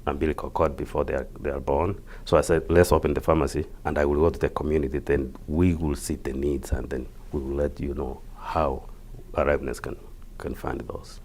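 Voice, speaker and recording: A man with a Kenyan accent explaining things as an interview guest, haltingly and repeating words. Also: very deep voice, flat delivery, a little mellow, slight background noise. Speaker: interview guest, community health project worker.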